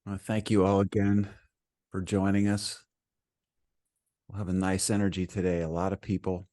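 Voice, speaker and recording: Low-voiced man guiding a meditation. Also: flat delivery. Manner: gentle, soothing, unhurried with frequent pauses. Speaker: meditation teacher. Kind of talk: guided meditation.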